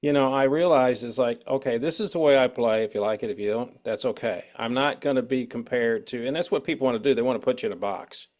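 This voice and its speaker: A man's voice: medium pitch, quick pace, few pauses, darkish tone. Rambling male interview host. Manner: rambling, conversational, self-referencing musician. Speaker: show host. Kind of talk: casual interview chat.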